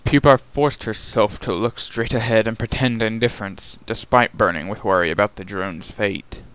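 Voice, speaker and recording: A man's voice: fairly low pitch, moderate pace, fairly bright tone, clear, resonant tone. A man narrating a dramatic reading of a fantasy fan fiction story.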